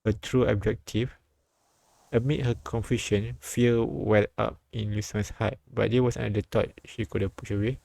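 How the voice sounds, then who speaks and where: A man reading a story and its dialogue aloud as a live streamer, often halting and repeating phrases. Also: on the low side, little pitch movement, vocal fry, darkish tone, no echo. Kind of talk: read-aloud narration and dialogue translation with side comments.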